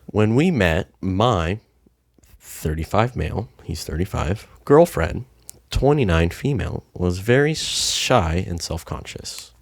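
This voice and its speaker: A man's voice: sits low, expressive, takes it slowly, creaky, no room sound, clean studio sound. A young man co-hosting a casual podcast, laid-back and swearing freely, at times reading a post aloud. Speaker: podcast co-host.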